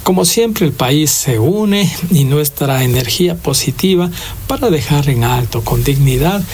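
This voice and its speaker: A man's voice: fairly high pitch, lively intonation, unhurried, darkish tone, a little hiss or hum. A man with an Ecuadorian accent hosting a radio music show, greeting listeners and introducing songs in an effusive, sentimental, ornate way.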